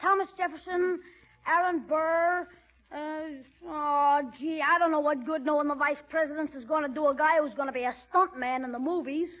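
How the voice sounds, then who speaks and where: Slangy cheeky kid, really high voice, old radio comedy, muffled sound. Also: female voice, steady tone, normal speed, a bit bright. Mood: bored, boastful. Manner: brash, evasive. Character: cocky, slangy, mischievous schoolboy. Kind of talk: 1940s radio comedy acting. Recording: muffled 1940s radio recording.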